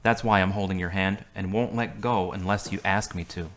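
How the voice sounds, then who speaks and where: A man playing a wounded, guarded young man who speaks in a flat, terse way. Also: low voice, normal speed.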